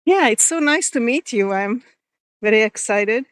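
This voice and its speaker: A woman's voice: a little high, animated, clear, resonant tone, no echo, clean studio sound. A woman chatting casually on a live video, walking outdoors in a forest and reading viewer comments as she goes. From Hungary. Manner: chatty, reads viewer comments aloud, pauses and restarts. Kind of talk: casual live-stream chat while walking.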